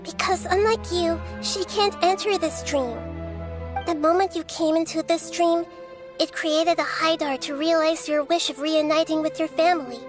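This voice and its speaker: A woman voicing a small childlike goddess in a video game, speaking in a measured, earnest and philosophical way, gentle but resolute. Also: very high voice, fairly even intonation, music in the background. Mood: calm, probing.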